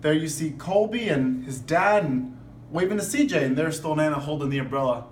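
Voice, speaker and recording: A man's voice: fairly high pitch, animated, fairly quick. A man reading a children's picture book aloud in an instructive way, stopping for asides and questions to his listeners. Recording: echoey room, distant mic, background noise.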